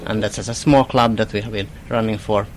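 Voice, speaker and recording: A Swedish-speaking man from Finland, a guest in a radio interview, talking haltingly with non-native phrasing. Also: fairly low pitch, steady tone, slight background noise. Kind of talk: casual interview.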